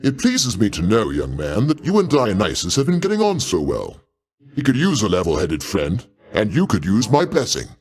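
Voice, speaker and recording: Zeus, a grandiose, sweepingly expressive god king. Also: on the low side, normal speed. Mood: commanding, rousing. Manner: grandiose, avuncular, courtly old-fashioned diction. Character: Zeus, mighty older god king, genial doting uncle. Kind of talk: theatrical video game voice acting.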